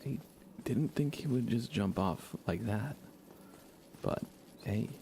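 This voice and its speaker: Low, gravelly man streaming softly. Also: slow, slight background noise. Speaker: video game streamer. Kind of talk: soft-spoken ASMR live game commentary.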